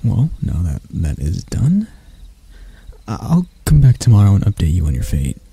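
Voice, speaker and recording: A man playing a proud elf prince in a roleplay ASMR, speaking in a measured, haughty and intimate way to the listener. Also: low-pitched, huge pitch swings, creaky, warm tone, breathy edge, no room sound, exaggerated voice. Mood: flustered, tender. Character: proud elf prince, stern captor softening into bashfulness.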